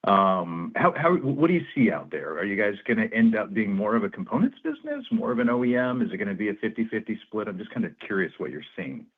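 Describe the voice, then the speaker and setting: A male financial analyst asks questions on an earnings call. His voice is deep and his phrasing conversational. He comes through a narrow, tinny telephone connection. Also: sing-song, quick pace, hardly any pauses, breathy edge. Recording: narrow tinny phone line.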